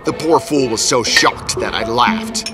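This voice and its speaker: A man's voice: medium pitch, measured pace, loud music behind the voice, close to an everyday voice. A man playing an arrogant invisible scientist in a cartoon, telling his own story in a theatrical, boastful, scornful way. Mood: gleeful, boastful. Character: arrogant invisible male scientist, gloating and restless. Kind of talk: dramatic first-person storytelling in a cartoon.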